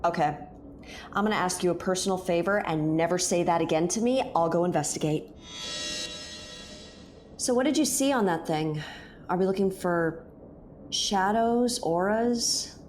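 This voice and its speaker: A woman's voice: on the low side, normal speed, pauses often, darkish tone, faint music behind, natural voice. An actress playing a spooked, irritable young woman investigating haunted ships in an audio drama, with jumpy, sarcastic delivery. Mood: wary, sarcastic. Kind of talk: audio drama acting, naturalistic dialogue. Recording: clearly noisy, with background ambience.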